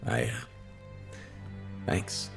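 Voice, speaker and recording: A man playing a steady, wry pilot in a video game drama, speaking in a dry, understated way. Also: very slow, music in the background. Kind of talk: cinematic game drama acting.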